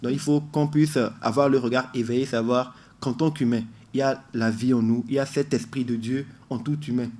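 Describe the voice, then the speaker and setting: An earnest man talking through his ideas as a guest on a radio show. He explains at length and leans hard on key words. His pitch sits in the ordinary middle range. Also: fairly even intonation, a little fast, few pauses, darkish tone. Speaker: musician guest. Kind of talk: radio interview. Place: radio studio.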